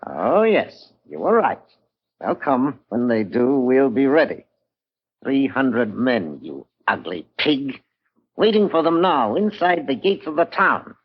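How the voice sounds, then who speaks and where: Nasty gloating sheriff villain, husky, voice swoops around, tinny old radio drama. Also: male voice, medium pitch, big pitch swings, full character voice. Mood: gloating menace. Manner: sneering, drawn-out taunts. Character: gloating, spiteful villainous sheriff, relishing revenge. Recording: tinny, band-limited old radio recording.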